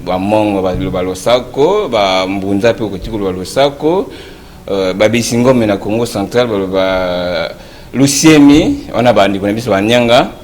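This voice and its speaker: Low-voiced man explaining patiently. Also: normal speed, darkish tone, slight background noise. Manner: explains patiently, with hesitant fillers. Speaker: guest presenter of a culture segment. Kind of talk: casual chat explaining.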